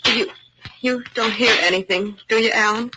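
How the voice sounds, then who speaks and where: A woman's voice: a little high, fairly even intonation, moderate pace, natural voice. A woman acting a plucky, bossy young in an old-time radio drama, scolding and theatrical as she hides her fright. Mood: brave but nervous. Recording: hissy vintage radio recording.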